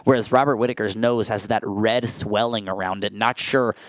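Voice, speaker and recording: A young man calling a martial arts fight play-by-play, filling gaps with "uh" and jumping up in pitch and volume when big strikes land. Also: fairly even intonation, moderate pace, no echo. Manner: frequent "uh" fillers. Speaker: play-by-play commentator.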